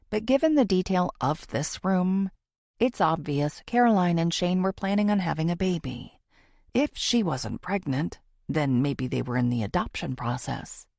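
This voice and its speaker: A woman narrator plays an earnest female true-crime podcaster in a thriller audiobook. She is confiding and theatrical, her lowish voice swinging expressively and breaking into fry. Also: darkish tone, no echo, studio quality, exaggerated voice. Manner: confiding, theatrical, deliberate pauses.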